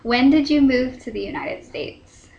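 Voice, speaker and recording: Soft-spoken woman interviewer, higher voice. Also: unhurried, gravelly, muffled recording, a little hiss or hum. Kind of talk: reading interview questions. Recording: roomy echo.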